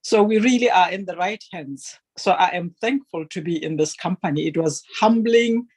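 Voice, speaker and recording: A woman's voice: fairly low pitch, moderate pace, darkish tone, breathy edge. Fervent, emphatic woman giving a testimonial. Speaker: guest speaker giving a testimonial. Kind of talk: heartfelt testimonial to an online meeting.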